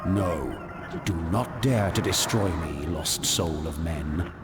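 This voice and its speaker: An actor playing a pompous fallen angel in a video game, an arrogant lord of purgatory who speaks in grandiose, scornful phrases. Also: male voice, deep voice, animated, slow, heavy background noise. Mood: defiant pleading.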